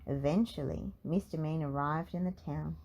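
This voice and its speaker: A woman narrating a children's storybook in an expressive read-aloud style. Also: low-pitched, moderate pace, vocal fry.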